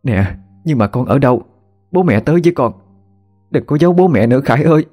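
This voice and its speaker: A man voicing a frantic middle-aged mother who pleads in a high, wailing voice. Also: fast talker, darkish tone, faint music behind, lightly acted. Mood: pleading.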